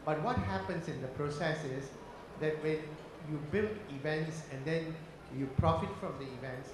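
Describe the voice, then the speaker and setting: A middle-aged man with a Malaysian accent asking a question from the floor in deliberate, broken phrases. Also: a little high. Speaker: audience questioner, startup organisation head. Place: conference hall. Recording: very echoey, noisy conference hall.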